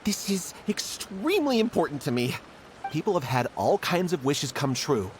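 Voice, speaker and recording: A man's voice: a little high, big pitch swings, normal speed, a little hiss or hum. A man playing an earnest, jittery young writer in a video game, with a fussy, pleading and defensive way of talking. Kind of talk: video game dialogue acting. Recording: music playing behind.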